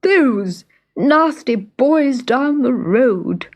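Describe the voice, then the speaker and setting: Storybook dog, played by a woman: aggrieved, whimpering, raised pitch, indignant delivery. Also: lively intonation, extremely slow, a little mellow, exaggerated voice. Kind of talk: children's storybook character voice.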